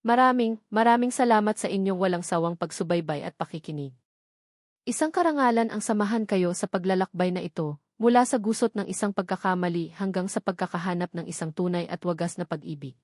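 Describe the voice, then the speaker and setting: A woman's voice: on the low side, brisk, darkish tone, no room sound, clean studio sound. A woman with a Filipino accent reading scripted dramatic narration as an audiobook storyteller.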